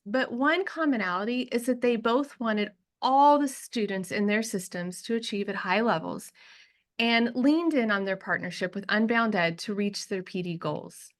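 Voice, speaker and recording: A woman hosting a webinar, giving a smooth prepared introduction of the panel. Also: a little high, a bit bright. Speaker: webinar host.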